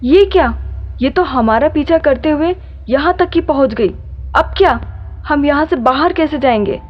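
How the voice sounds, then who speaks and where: Woman playing a high-voiced, frightened young woman. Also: expressive, moderate pace. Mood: panicked. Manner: pleading, melodramatic. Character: frightened, anxious young woman in a haunted house. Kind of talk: dramatized horror story dialogue. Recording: dull lo-fi sound with music behind.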